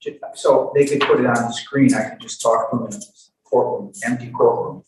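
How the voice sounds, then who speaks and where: Halting male lawyer, echoey and distant. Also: mid-range voice, a little mellow. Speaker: defense lawyer. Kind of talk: courtroom questioning and requests. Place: courtroom. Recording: echoey courtroom, distant mic, faint noise.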